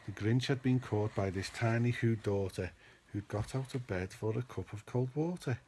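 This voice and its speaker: A man's voice: lowish voice, normal speed, warm tone, a little hiss or hum. A man reading a children's storybook aloud as a podcast host, in a narrating style.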